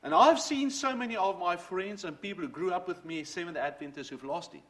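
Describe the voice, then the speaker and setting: A man's voice: high voice, a little fast, fairly bright tone. A middle-aged man, a native Dutch speaker, preaching a sermon and telling his own faith story in an earnest, storytelling way. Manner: earnest, storytelling, quotes from books. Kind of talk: sermon with personal testimony.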